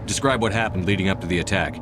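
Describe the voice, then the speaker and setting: A man playing a steady, authoritative military commander in a video game, terse and commanding. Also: low voice, very fast, very noisy, natural voice. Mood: businesslike. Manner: commanding, clipped questions. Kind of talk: video game dialogue acting.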